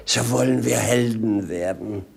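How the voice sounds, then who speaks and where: A man's voice: medium pitch, vocal fry, husky, heavily acted. A man playing a roguish, clownish servant in a German radio drama, punning and mocking in a droll, theatrical manner. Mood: playful. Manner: droll, drawn-out, theatrical swoops. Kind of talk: German stage-classic radio drama acting.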